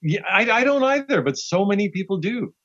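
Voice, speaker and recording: A man's voice: sits high, big pitch swings, fairly quick, fairly bright tone, no echo. A man speaking casually as an interview guest, telling anecdotes and acting out what people say. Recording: slightly dull, lo-fi audio.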